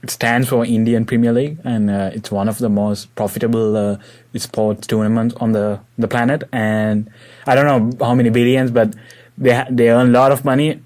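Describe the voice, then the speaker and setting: Young male host, medium pitch. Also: little pitch movement, normal speed, a little mellow. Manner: informal, explanatory asides to listeners. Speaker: podcast host. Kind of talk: casual interview chat.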